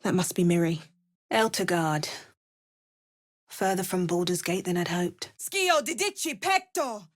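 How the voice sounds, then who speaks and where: An actress playing a watchful, wry and resolute female fantasy adventurer in a video game, with a measured, theatrical delivery. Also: fairly low pitch, lively intonation, dry recording, studio quality. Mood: forceful incantation. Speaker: voice actor for a player character.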